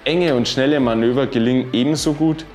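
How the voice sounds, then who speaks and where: A man in his thirties giving a scripted bike review, reading specifications in an even, informative way. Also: mid-range voice, a little fast. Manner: even, informative delivery dense with specs. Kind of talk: scripted product review narration. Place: wooden-walled workshop room, indoors. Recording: roomy sound with faint music.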